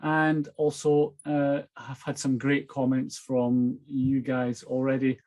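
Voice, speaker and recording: A man's voice: mid-range voice, little pitch movement, slow, a little mellow. A Scottish man, an author, chatting and reading aloud from his own novel. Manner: enthusiastic, chatty.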